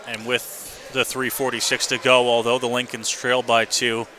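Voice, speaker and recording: A man's voice: medium pitch, level tone, moderate pace. Man calling hockey over noisy rink. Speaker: hockey play-by-play announcer. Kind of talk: live radio hockey play-by-play commentary. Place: indoor ice rink. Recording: noisy live arena radio broadcast. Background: loud rink ambience and noise.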